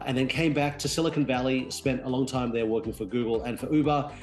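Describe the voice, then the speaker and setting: A man in his forties who grew up in Australia, speaking as an interview guest over a remote call, quick and full of restarts. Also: mid-range voice, steady tone, soft background music. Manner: quick, restarts often, chuckles. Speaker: guest, real estate executive. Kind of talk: conversational interview. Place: home in Sydney.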